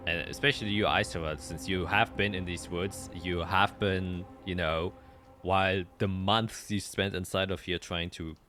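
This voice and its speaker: A man narrating a tabletop roleplaying game as game master, explaining in a halting way and often rephrasing. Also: low-pitched, pushed and bright, a little hiss or hum.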